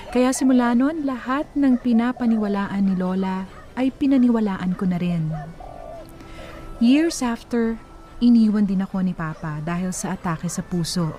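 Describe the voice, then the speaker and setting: A woman's voice: mid-range voice, dark tone, lightly acted. An actress playing a reflective woman who tells her own life story as scripted drama narration. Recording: faint music behind.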